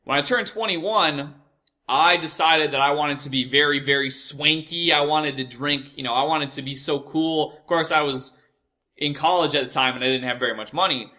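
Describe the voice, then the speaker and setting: A man's voice: a little high, pushed and bright. A young American man telling personal stories in a casual, rambling way, swearing freely and often doubling back to correct himself. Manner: profane, repetitive, halting and self-correcting. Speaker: host of a personal storytelling show.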